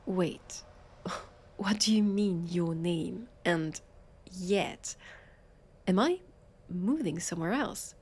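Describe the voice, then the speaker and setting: A woman with a French accent playing a brusque, sarcastic vampire detective in a scripted audio roleplay, with halting, flustered delivery. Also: fairly low pitch, animated, darkish tone, breathy edge, exaggerated voice. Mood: disbelieving, flustered.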